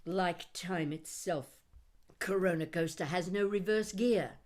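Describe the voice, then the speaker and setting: A woman reading her own poetry aloud in an expressive spoken-word style, with deliberate, dramatic phrasing and wry humour. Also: fairly low pitch. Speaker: poet reading own work.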